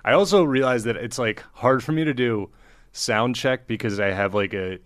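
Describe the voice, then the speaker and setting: Man, deep voice, comedy host, casual rambling chat, dry close mic. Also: moderate pace, dry recording. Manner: rambling, self-interrupting.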